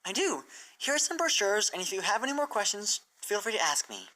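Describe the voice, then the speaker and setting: A performer voicing a gentle, earnest female unicorn mentor pony in a cartoon fan fiction reading, encouraging and measured. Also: mid-range voice, big pitch swings, voice pushed up, rough recording.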